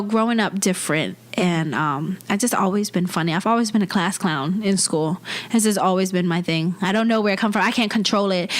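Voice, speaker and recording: A woman's voice: medium pitch, a bit bright. A woman in her twenties, born in Vietnam, telling her life story in an interview with candid, blunt talk and frequent laughs. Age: 20s. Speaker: comedian guest.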